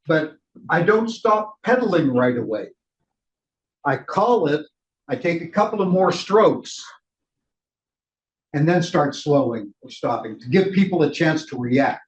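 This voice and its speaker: An older man leading a casual cycling training session, speaking loudly and chatting with the group. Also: fairly high pitch. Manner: loud, chatty, laughs between points. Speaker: ride leader trainer. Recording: roomy, dull lo-fi room recording.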